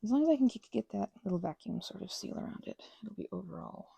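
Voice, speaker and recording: A woman making an ASMR craft video, rambling softly with whispered asides, little exclamations and meandering self-interrupting tangents. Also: mid-range voice, lively intonation, fast, creaky, breathy edge, no echo. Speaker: ASMR creator.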